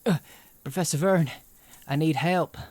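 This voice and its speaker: A man's voice: on the high side, unhurried, darkish tone, dry recording. A man playing an eager, kindly young student with octopus tentacles, folksy and hesitant in an audio roleplay. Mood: flustered. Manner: folksy, hesitant, corny joking. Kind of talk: character acting in an ASMR audio roleplay.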